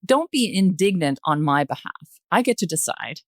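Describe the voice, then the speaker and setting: Low-pitched older woman, emphatic and conversational. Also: big pitch swings, no echo, studio quality. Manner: emphatic, halting repetition of phrases. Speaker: podcast guest, retired journalist. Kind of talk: conversational panel discussion.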